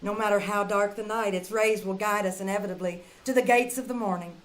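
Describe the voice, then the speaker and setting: A woman playing a brisk, kindly lady in the style of 1940s radio drama. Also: mid-range voice, fairly even intonation, lightly acted. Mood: earnest, hopeful. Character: Charlotte, brisk kindly woman, scolding then hopeful. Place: live community theater stage performance.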